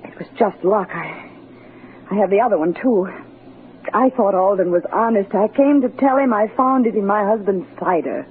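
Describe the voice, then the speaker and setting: An actress playing a nervous, pleading society wife in a 1940s radio drama, flustered and hesitant. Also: female voice, medium pitch, normal speed, slightly rough. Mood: shaken. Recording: muffled, noisy 1940s radio broadcast.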